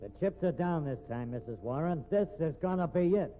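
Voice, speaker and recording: An actor playing a gruff, stubborn, irritable police detective, blunt and slangy, in 1950s radio drama. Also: male voice, fairly high pitch, lively intonation, brisk, soft background music, natural voice. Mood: dogged, accusing. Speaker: police investigator. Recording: tinny, noisy vintage radio recording.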